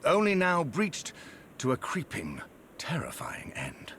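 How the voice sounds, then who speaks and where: A man's voice: on the high side, wildly swooping pitch, takes it slowly, fairly bright tone, slight background noise, heavily acted. A man playing an aging, erudite and pompous Oxford occult scholar in a video game, with a wordy, theatrical delivery. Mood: grave, ominous. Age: older. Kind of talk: dramatic video game acting.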